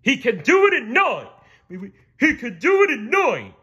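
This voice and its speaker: A man voicing a dim, overeager starfish in a storybook read-aloud, blurting things out loudly. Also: very high voice, huge pitch swings, normal speed, husky, dull audio, voice pushed up, full character voice. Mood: eager.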